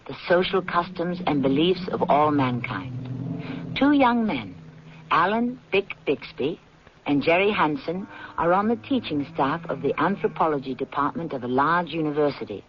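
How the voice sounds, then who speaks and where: A man hosting and narrating a 1970s radio drama in a formal, measured, didactic scripted style. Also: a little high, expressive, a little hiss or hum. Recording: muffled 1970s radio broadcast.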